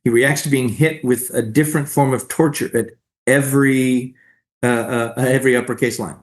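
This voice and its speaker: A man's voice: medium pitch, steady tone, moderate pace. A man reading stage directions as scripted narration at a staged play reading.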